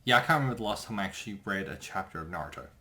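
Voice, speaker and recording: A man's voice: deep voice, animated, racing, pushed and bright. A man co-hosting a casual commentary in a matter-of-fact way, chipping in with short remarks.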